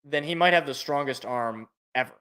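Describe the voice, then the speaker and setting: A man co-hosting a casual sports podcast, loud and excitable, stumbling over repeated words, his voice worn out. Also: mid-range voice, brisk, clean tone. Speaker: podcast co-host.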